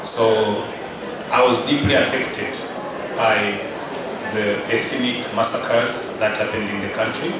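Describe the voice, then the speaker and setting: A man in his fifties from Rwanda, speaking slowly and with many pauses as he gives a personal testimony at a press briefing. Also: fairly low pitch, fairly even intonation. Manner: measured, pausing with frequent "uh". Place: press conference room. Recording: very echoey room, mic far off, loud background noise.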